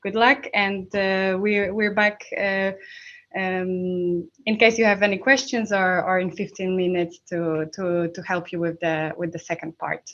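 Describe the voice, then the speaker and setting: A woman with a Polish accent giving an informal technical workshop in a hesitant, halting way with frequent pauses. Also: lowish voice, level tone, a bit bright, muffled recording. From Poland. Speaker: workshop instructor.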